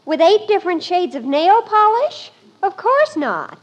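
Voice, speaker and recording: An actress playing a breezy, self-absorbed teenage daughter in a mid-century radio comedy, with slangy delivery. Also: female voice, very high voice, sing-song, a little slow, heavily acted. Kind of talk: 1940s-50s radio sitcom acting.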